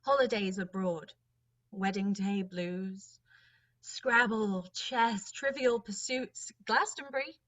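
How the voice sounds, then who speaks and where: A woman performing a wistful narrator in a measured dramatic reading of a rhyming monologue. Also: mid-range voice, fairly bright tone.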